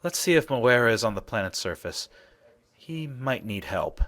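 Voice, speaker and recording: A man's voice: mid-range voice, animated, normal speed, fairly bright tone, lightly acted. A man voicing a breezy, confident adventurer in a space video game. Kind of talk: video game character dialogue.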